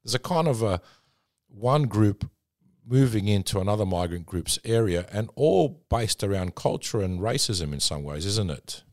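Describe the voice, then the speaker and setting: A man's voice: fairly low pitch, expressive, moderate pace, darkish tone. A man hosting a podcast interview, chatty and fond of asking leading questions. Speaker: podcast host.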